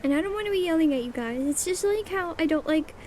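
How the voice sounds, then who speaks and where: A young woman with a soft voice chatting casually and rambling while she draws on a livestream. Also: very high-pitched, expressive, fast, a little hiss or hum. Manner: chatty, rambling, self-deprecating, mutters settings under her breath. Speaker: streamer. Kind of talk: casual chat while drawing on stream. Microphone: streaming mic.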